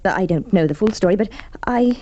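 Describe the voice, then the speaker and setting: A dull, lo-fi vintage radio drama recording carries an unhappy wife acted by a woman. Her tone is soft-edged and mellow. She is measured and hesitant, sidestepping as she schemes. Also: mid-range voice, expressive, slight background noise, natural voice. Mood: flustered, evasive. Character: unhappy, scheming wife questioned over her husband's murder. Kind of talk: Golden Age radio drama acting.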